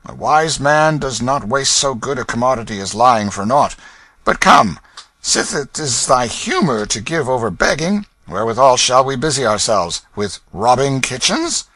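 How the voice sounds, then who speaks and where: A man's voice: medium pitch, big pitch swings, moderate pace, bright, forceful tone, no room sound, voice pushed up. A man voicing a sly young beggar and thief who talks in a scornful, wheedling, bossy way. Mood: scoffing advice.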